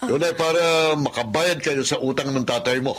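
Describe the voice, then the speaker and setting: A man's voice: medium pitch, normal speed, slight background noise, close to an everyday voice. A man playing a stern, authoritative household boss and creditor, commanding and matter-of-fact, in a Filipino radio drama.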